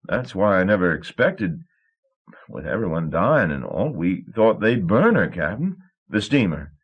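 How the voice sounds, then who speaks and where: A man's voice: low-pitched, sing-song, a little slow, breathy edge, highs cut off, full character voice. A man voicing a nervous young shipping clerk who stammers and babbles. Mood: flustered.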